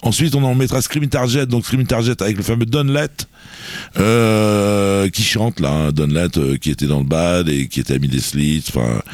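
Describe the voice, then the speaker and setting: A man's voice: fairly low pitch, normal speed, a little mellow, no echo. A man presenting music on a radio show in a studio, chatting casually and rambling with many fillers and restarts. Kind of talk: casual radio chat about music history.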